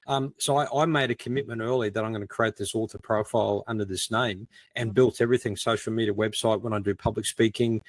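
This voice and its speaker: A man's voice: lowish voice, steady tone, fast, few pauses, no room sound. A middle-aged man, chatting casually and telling anecdotes in a self-deprecating way. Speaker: podcast co-host and crime writer.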